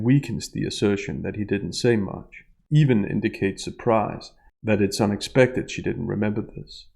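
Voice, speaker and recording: A man delivering scripted analytical commentary in a measured, precise, explanatory way. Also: lowish voice, gravelly, a little mellow. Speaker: analyst and narrator of a statement analysis video.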